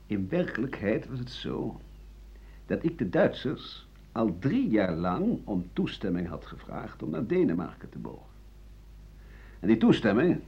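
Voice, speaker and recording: An aggrieved older doctor, bitter and defiant, in a radio play monologue. His husky voice swings widely between low and heated tones. The recording is a dull old radio broadcast. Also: full character voice. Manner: aggrieved, emphatic monologue with scornful chuckles. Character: embittered older French doctor-writer accused of treason, defiant.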